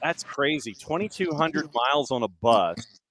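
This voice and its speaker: A man's voice: mid-range voice, expressive, measured pace, fairly bright tone. A man hosting a podcast and interviewing a guest, asking long, reflective questions. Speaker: podcast host. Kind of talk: casual interview.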